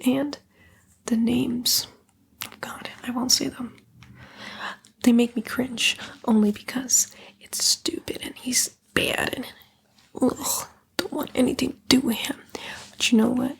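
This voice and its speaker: A woman's voice: on the high side, flat delivery, takes it slowly, long pauses, exaggerated voice. A woman voicing a sly, sassy female demon in a whispered ASMR roleplay, coaxing and flippant, with disdainful slang. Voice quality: breathy, mostly whispered.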